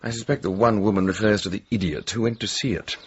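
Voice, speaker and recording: An actor playing a dry, long-suffering middle-aged British gentleman sidekick in a radio drama, sarcastic and droll. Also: on the low side, fairly even intonation, fast, lo-fi sound, a little hiss or hum, natural voice. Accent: British English.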